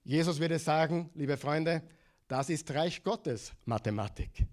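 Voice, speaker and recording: A middle-aged Austrian man preaching a sermon, lively, telling stories and having the congregation repeat words after him. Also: a little high, takes it slowly. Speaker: pastor.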